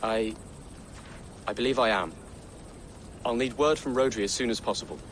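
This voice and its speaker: A young man playing an earnest, gentle young nobleman in a game cutscene. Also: medium pitch, moderate pace, a little hiss or hum, natural voice. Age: young adult. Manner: earnest, hopeful, softly spoken. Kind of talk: video game cutscene acting.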